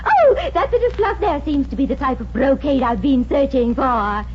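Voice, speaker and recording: A woman's voice: high voice, sing-song, moderate pace. An actress playing an affected, haughty rich lady customer, grand and pretentious, in 1950s radio comedy. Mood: snooty, refined. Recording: dull, noisy old radio recording.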